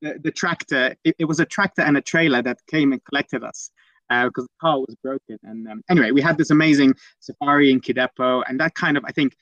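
A man's voice: mid-range voice, a little fast, fairly bright tone, dry recording, highs cut off. Hesitant young man from the Netherlands. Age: young adult. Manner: hesitant, many restarts and ums. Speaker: guest, conservation program manager. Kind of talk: casual storytelling interview.

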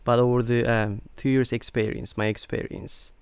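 A middle-aged man from Turkey, speaking as an interview guest in a halting way with many fillers. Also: mid-range voice, flat delivery, a little mellow, clean tone, dry recording. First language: Turkish. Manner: hesitant, many fillers and restarts. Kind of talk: conversational interview.

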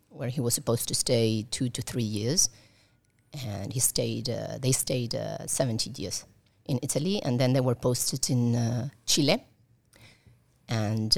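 Creaky-voiced woman, halting and hesitant. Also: a bass voice. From Colombia. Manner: hesitant, many fillers and restarts. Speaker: interview guest. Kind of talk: casual conversational interview.

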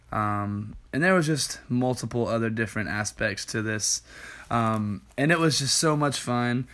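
A young man, a youth worship leader, giving a casual Bible study talk in a tired, slightly hoarse voice, often saying um and clearing his throat. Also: on the low side, a bit bright. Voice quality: many ums and throat clearing.

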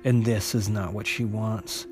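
A man narrating an unscripted recap of a story as a host, in a halting way, often repeating and restarting his phrases. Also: lowish voice, fairly even intonation, over music. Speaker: host retelling a comic book story.

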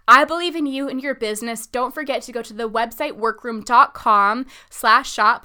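A young woman in her mid-twenties hosting a podcast, chatty and upbeat, filling her talk with "like" and "um". Her voice is bright and clear, pitched a little high. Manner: chatty, upbeat, lots of "like" and "um", laughs between thoughts. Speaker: podcast host. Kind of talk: casual solo podcast, answering listener questions.

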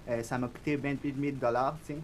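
A young man with a Spanish accent, a guest on a podcast interview, talking in a soft, reflective, shy way. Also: mid-range voice, fairly even intonation, fast talker, a little hiss or hum. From Spanish-speaking country. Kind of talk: casual interview chat.

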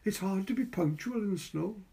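A man's voice: sits high, moderate pace, creaky, mellow, voice pushed up. A man reading the part of a small, tidy, wistful young woman in a storybook. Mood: wistful, insistent.

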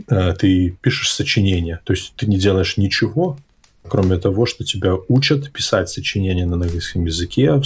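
A man with a deep, slightly husky voice talks in a loose conversational way. He hesitates, circles back and rephrases as he thinks. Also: darkish tone. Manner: hesitant, self-correcting, reflective. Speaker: podcast host. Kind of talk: casual conversational interview. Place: abroad, in an English-speaking country.

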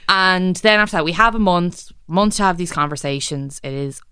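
Chatty woman, smooth low voice. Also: moderate pace, fairly bright tone, dry recording, clean studio sound. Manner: chatty, laughing often, lots of likes and restarts. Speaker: podcast guest. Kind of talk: casual chat.

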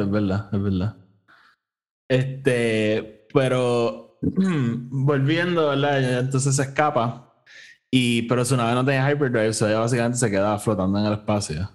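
Puerto Rican man casually recapping, switching into English. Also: mid-range voice. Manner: mixes English phrases into Spanish. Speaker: podcast host reviewing an audio drama.

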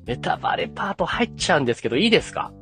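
A man hosting a casual podcast, explaining a story in an animated way and drawing out key phrases for suspense. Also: a little high, very fast, vocal fry, faint music behind. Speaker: podcast host explaining an anime.

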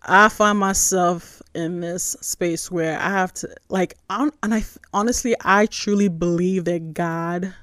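A woman's voice: fairly low pitch, fairly even intonation, measured pace, hardly any pauses, fairly bright tone, dry recording, studio quality. A woman in her forties from Nigeria, born in New York, hosting a devotional podcast in a conversational, emphatic way. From born in New York, raised in Nigeria. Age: 40s. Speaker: podcast host, church spiritual formation director. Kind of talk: casual devotional podcast talk.

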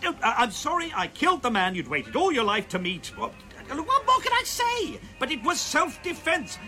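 A man's voice: very high-pitched, huge pitch swings, moderate pace, sharp tone, soft background music, heavily acted. A man playing a blustering, old-fashioned police inspector with an English accent, flustered and emphatic, in a comic radio drama. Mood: flustered, pleading.

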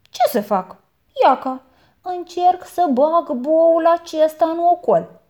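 Woman voicing a sly orphan boy, high and bouncy, mock-innocent, storybook. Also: lively intonation, darkish tone. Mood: cheeky.

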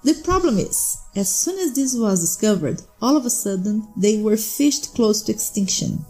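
A cool, knowing smuggler woman, put on by a man reading the story. She talks in a high, mellow voice with a breezy, matter-of-fact ease, as if every deal were routine. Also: lively intonation, normal speed, faint music behind, poor audio. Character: sly smuggler woman, adult, cool and businesslike.

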